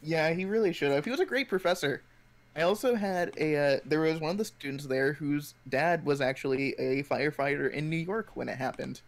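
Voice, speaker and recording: A young man chatting casually on a live stream, telling stories in a rambling, halting way full of filler words. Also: on the high side. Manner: rambling, halting storytelling peppered with 'like'. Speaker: stream co-host.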